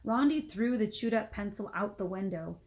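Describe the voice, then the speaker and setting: A woman narrates a children's book aloud. Her mid-pitched voice carries the story expressively, in the manner of a read-aloud for pupils. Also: lively intonation, normal speed, a little mellow. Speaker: storybook reader.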